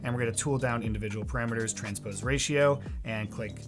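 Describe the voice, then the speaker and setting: A man hosting a software tutorial in an informal, joking way, talking casually to his viewers. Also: medium pitch, a little fast, over music. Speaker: host of a video editing tutorial series.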